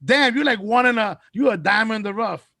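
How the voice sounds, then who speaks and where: A man's voice: high voice, brisk, creaky, sharp tone, dry recording, studio quality. A man hosting a casual panel, brash and joking, swearing freely. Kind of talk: casual chat.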